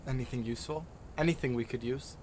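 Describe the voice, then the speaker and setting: A man's voice: medium pitch, quick pace, a little mellow, a little hiss or hum, close to an everyday voice. A man playing an earnest, insistent character determined to learn fate, in an audio drama. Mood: curious.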